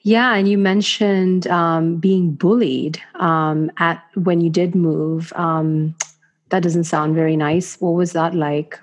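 A woman's voice: fairly low pitch, fairly even intonation, slow, lo-fi sound. A woman hosting a podcast interview, talking in a gushing, effusive way with frequent ums. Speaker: podcast host.

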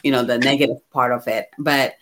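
Reflective, expressive woman from Ghana. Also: very deep voice, a little fast, slight background noise. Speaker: guest receiving a card reading. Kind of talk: candid personal conversation.

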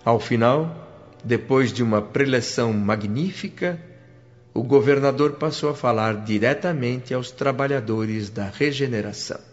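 Calm male radio-drama narrator. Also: medium pitch, a little slow. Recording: dull lo-fi radio-drama recording. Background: soft music.

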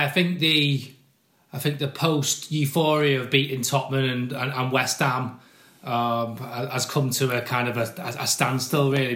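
A man with a croaky voice chats about football on a podcast with his brothers. He halts and restarts often as he talks. His pitch sits in the middle range. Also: fairly bright tone. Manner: halting, many restarts. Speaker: podcast co-host.

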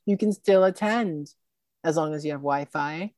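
A woman speaking with a low, close, dry sound. She answers interview questions earnestly and expressively, and she often ends her points with a quick "right?". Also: darkish tone, dry recording. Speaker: film festival organizer.

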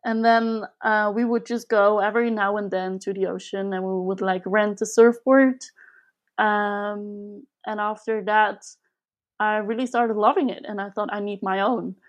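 A woman's voice: mid-range voice, fairly even intonation, unhurried. A young woman from Switzerland speaking as a guest in a casual interview, chatty and giggly as she thinks aloud. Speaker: podcast guest.

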